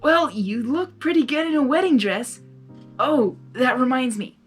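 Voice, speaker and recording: A woman with a high, very lively voice reads a fan story aloud. She is excitable and giggly, slipping between narration and chatty asides, with music underneath. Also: measured pace, breathy edge. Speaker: amateur fan fiction reader.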